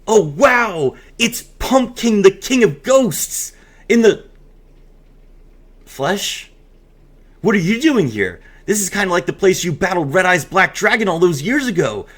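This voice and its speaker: A man's voice: mid-range voice, fairly bright tone. A man hosting a card game video, mixing casual commentary with theatrical, emphatic reading aloud.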